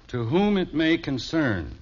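A muffled vintage radio recording of an easygoing American dad in a fifties sitcom. His voice is husky and moves freely up and down. He is dry and amused, turning mock-formal in a middle-aged, fatherly way. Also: fairly high pitch, heavily acted. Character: wry, easygoing suburban father of teenagers. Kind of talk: 1950s radio sitcom acting.

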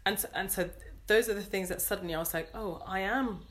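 A woman with an English accent, speaking earnestly and thoughtfully as an interview guest, pausing to search for words. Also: medium pitch. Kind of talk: reflective interview conversation.

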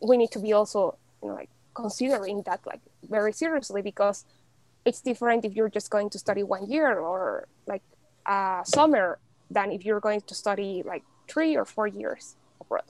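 A young woman from Mexico in casual conversation, chatty, with many fillers and an animated, often laughing delivery. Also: medium pitch, normal speed, no room sound, poor audio. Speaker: podcast guest.

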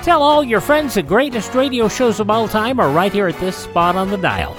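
A man's voice: high-pitched, animated, moderate pace, over music. A man hosting a radio show, delivering a scripted sign-off in a studio. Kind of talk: scripted radio host outro.

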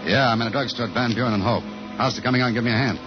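A man's voice: medium pitch, rapid-fire, music in the background, close to an everyday voice. An actor playing a dogged, hard-boiled male investigator in 1950s radio noir, terse and insistent. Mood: terse, probing. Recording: dull old radio broadcast.

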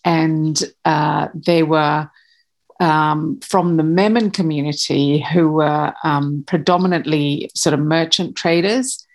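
A woman's voice: low-pitched, steady tone, slow, darkish tone. A woman in her fifties from Malawi, a podcast guest talking about her family, hesitant with many fillers and restarts. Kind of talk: casual interview chat.

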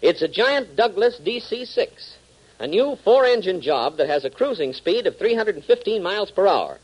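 Old time radio announcer guy, high voice, swoops around, emphatic, talking to the kids. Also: very animated, normal speed, breathy edge, dull audio. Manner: emphatic, addresses young listeners directly. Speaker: announcer and narrator. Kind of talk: 1940s radio announcing, scripted narration.

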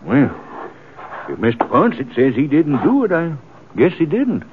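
An actor playing a hearty, agreeable small-town banker in a radio western, with folksy, emphatic American speech. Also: male voice, on the high side, huge pitch swings, unhurried, noisy background. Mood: convinced. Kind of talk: 1950s radio western acting. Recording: tinny, narrow 1950s radio recording.

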